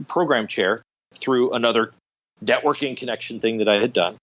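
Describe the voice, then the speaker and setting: A man's voice: mid-range voice, moderate pace, a bit bright, dull audio. A middle-aged man telling anecdotes enthusiastically as an interview guest, in a conversational storytelling style. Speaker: guest, radio theater director.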